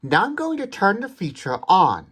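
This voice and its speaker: A man's voice: high voice, huge pitch swings, moderate pace, background noise. A man giving an explanatory product demonstration in a methodical, precise way, stressing key words. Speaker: tech demonstrator.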